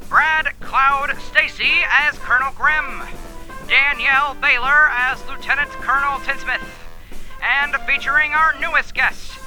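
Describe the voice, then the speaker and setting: A male announcer reading end credits with clear, deliberate diction. His voice is very high and sharply bright, and faint music plays underneath. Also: fairly even intonation, poor audio.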